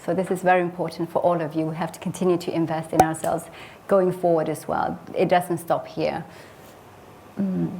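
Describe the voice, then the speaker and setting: A woman's voice: fairly low pitch, flat delivery, moderate pace, gravelly, dark tone, slight background noise. A woman who grew up in Sweden, a property investor, giving a personal case study talk in an earnest, reflective way with frequent hesitant fillers.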